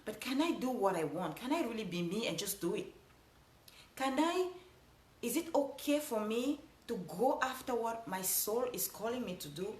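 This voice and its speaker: A woman's voice: on the high side, expressive, normal speed, echoey room. A woman with a Cameroonian accent giving an earnest motivational talk, repeating phrases for emphasis. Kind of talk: motivational speech.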